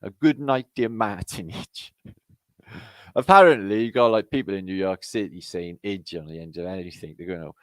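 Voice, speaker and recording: A man's voice: deep voice, moderate pace, fairly bright tone, dry recording. A middle-aged Welsh man hosting a casual livestream, rambling and swearing as he greets viewers in the chat. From Wales. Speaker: livestream host. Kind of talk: casual live-chat banter.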